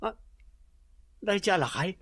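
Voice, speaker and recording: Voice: mid-range voice, expressive, a little slow, a bit bright, slightly rough, no echo. A performer playing a lazy, defensive unemployed man in a radio drama, with a sulky way of talking. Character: lazy unemployed man who watches TV all day.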